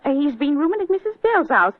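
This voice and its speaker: English village gossip, high voice, eager, know-all, tinny vintage radio play. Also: female voice, big pitch swings, quick pace, no echo. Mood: eager, gossipy. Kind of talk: 1940s radio drama acting. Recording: tinny, dry old radio recording.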